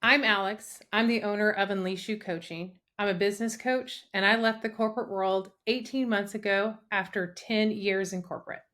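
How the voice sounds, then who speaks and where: A woman business coach co-hosts a podcast, reading the opening in an upbeat, scripted way. Her pitch sits in the middle range for a woman. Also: fairly even intonation, moderate pace, few pauses, fairly bright tone. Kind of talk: scripted intro and interview hosting.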